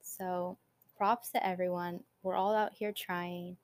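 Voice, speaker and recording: A woman's voice: lowish voice, flat delivery. Chatty young woman podcaster. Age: young adult. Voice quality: naturally quiet voice. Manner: chatty, upbeat, quick asides with light laughs. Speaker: podcast host, college student. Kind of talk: casual solo podcast chat.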